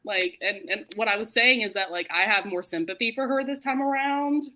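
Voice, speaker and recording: Bright-voiced woman chatting casually. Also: mid-range voice, fairly quick. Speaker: host of a book readalong live show. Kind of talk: casual book chat.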